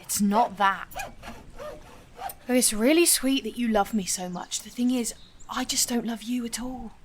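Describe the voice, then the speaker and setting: A woman's voice: a little high, unhurried, close to an everyday voice. An actress playing a gloomy, dismissive young woman in an audio drama, blunt and world-weary, with faint noise on the recording. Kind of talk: naturalistic audio drama acting.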